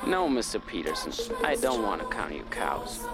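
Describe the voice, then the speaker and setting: Officious tax man over loud music. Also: on the low side, very animated, moderate pace, gravelly. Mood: officious, insistent. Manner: formal, insistent, bureaucratic. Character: officious, insistent American government tax man. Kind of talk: English-language radio play acting.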